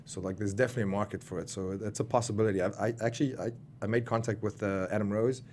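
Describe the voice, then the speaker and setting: A man's voice: fairly low pitch, fairly even intonation, racing, a little mellow, slight background noise. A man in his thirties with a South African accent, chatting casually as an interview guest, rambling with many fillers and restarts. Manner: rambling, lots of fillers and restarts, laughs often. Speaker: guest wrestler.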